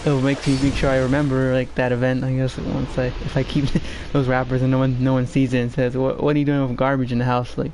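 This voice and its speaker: A shy male streamer with a low voice, talking in an even, flat tone. He hesitates and fills gaps with "you know" and "like". A home desk microphone picks him up over a faint hiss. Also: fast talker, hardly any pauses. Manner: soft-spoken, shy and hesitant, many fillers. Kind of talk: casual gameplay stream chat.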